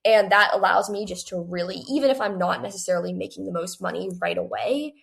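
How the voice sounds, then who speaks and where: A woman's voice: mid-range voice, fairly quick, creaky, fairly bright tone. A woman in her late teens, a guest talking conversationally and earnestly as she explains things in an interview. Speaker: guest, young adult author.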